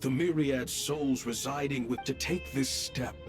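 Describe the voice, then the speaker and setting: Male actor, commanding mythic elder statesman, solemn, mid pitch, game drama, prominent music. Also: lightly acted. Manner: solemn, deliberate. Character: grave, commanding male leader of a mythic council. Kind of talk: dramatic video game voice acting.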